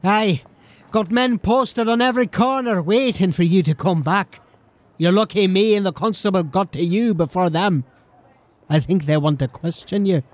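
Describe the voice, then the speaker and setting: A man's voice: high-pitched, moderate pace, no echo, close to an everyday voice. A man playing a furtive, loyal streetwise informant in a fantasy audio drama, talking in a colloquial, conspiratorial way.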